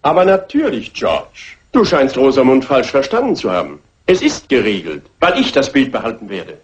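An actor playing an imperious, pompous, excitable gentleman with emphatic delivery in old film dub dialogue. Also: mid-range voice, normal speed, muffled recording. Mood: imperious, irritated.

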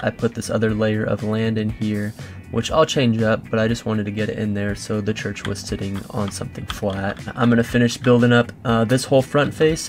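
Guy doing a chill lets play commentary, lowish voice, chatty, thinking out loud, faint music behind him. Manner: informal, chatty, thinking aloud. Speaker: host of a gaming let's play. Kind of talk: casual gameplay commentary, explaining a build.

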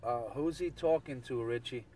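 A man's voice: medium pitch, normal speed. A man chatting casually as a CB radio operator, heard through a radio transmission. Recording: distant, slightly noisy CB radio signal.

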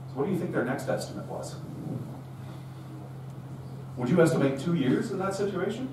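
Man giving a talk in an echoey room. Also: fairly high pitch. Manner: conversational storytelling, acts out quoted dialogue. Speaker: conference speaker. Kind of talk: live technical talk. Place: meetup room with audience. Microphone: room recording from far camera. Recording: roomy, distant, faint hum.

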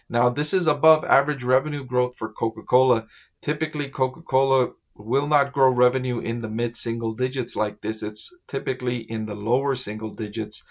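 Middle-aged male finance explainer, mid-range voice, chatty direct address from a home desk. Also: medium pitch. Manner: conversational, asks "right?" to the viewer. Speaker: finance commentator. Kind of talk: casual explainer to camera. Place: home office with bookshelves.

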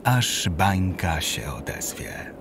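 A man narrating a rhymed children's Christmas story in a calm storytelling manner. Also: low-pitched, slow pace, music in the background.

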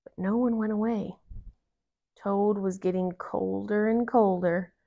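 A woman in her thirties reading a children's story aloud with expressive, deliberate narration. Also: mid-range voice, gravelly. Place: living room, indoors on a couch.

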